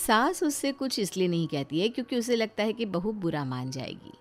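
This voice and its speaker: A woman's voice: medium pitch, very animated, brisk, soft background music. A woman reading a scripted family story as narrator.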